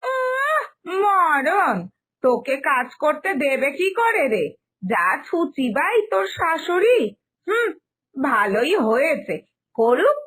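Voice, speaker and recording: A woman's voice: high-pitched, fairly bright tone, exaggerated voice. A woman voicing a lazy, slovenly aunt in a cartoon family story, breezy and chatty, scoffing at fuss. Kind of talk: animated Bengali family story dialogue.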